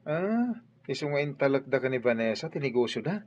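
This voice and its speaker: An actor playing a teasing, inquisitive buddy in a radio drama. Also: male voice, medium pitch, very animated, a little fast. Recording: dull radio sound, faint music.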